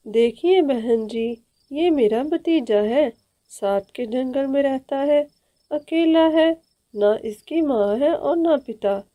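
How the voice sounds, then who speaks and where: Cartoon matchmaker bird female actor: polite, proud older aunt, high soft-toned voice, measured delivery. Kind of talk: Hindi children's cartoon dialogue.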